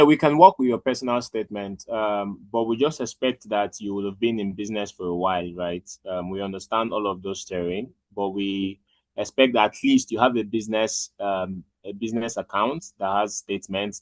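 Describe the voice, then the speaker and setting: A man with a fairly low voice explains requirements plainly, his voice close and dry with no room behind it. He is matter-of-fact and clear. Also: a little fast, no room sound, rough recording. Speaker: lender team member. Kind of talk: webinar answer.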